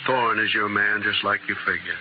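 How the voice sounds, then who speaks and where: A man's voice: lowish voice, steady tone, moderate pace, lightly acted. An actor playing a cautious middle-aged ranch foreman in a 1950s western radio drama, folksy and hesitant. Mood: grave, confiding. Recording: noisy, dull old 1950s radio recording.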